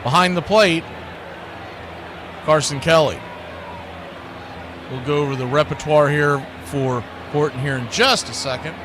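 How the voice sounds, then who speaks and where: Male play-by-play caller, folksy and fond of nicknames, fairly high voice, noisy ambient backdrop. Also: measured pace, pauses often. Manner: folksy, uses nicknames and catchphrases. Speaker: baseball play-by-play announcer. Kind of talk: live sports commentary.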